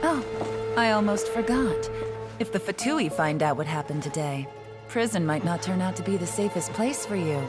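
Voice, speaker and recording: A woman voicing a self-assured, calculating female agent in a video game, with measured, coolly taunting delivery. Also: expressive, lightly acted. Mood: cool, menacing. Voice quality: low-pitched. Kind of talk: scripted game voice acting. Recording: long reverb, faint music behind.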